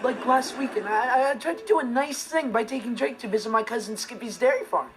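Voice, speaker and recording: A man's voice: very high-pitched, a little fast, background noise. A young American man playing an earnest, put-upon teenage boy in a sitcom monologue, complaining emphatically and indignantly. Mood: exasperated. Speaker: sitcom actor.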